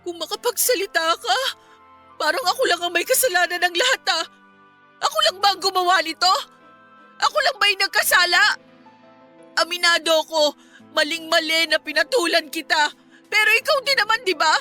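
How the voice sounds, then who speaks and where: A woman's voice: very high-pitched, lively intonation, brisk, pauses often, sharp tone, soft background music, full character voice. A woman playing a hurt, proud young wife and mother in a Filipino radio drama, emotional and pleading with indignation. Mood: angry, accusing.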